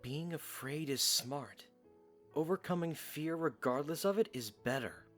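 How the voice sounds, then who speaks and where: A man's voice: a little high, unhurried, soft background music, lightly acted. A man playing a loyal young soldier in an audio drama, blunt, protective and earnest. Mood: earnest, encouraging. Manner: earnest, direct.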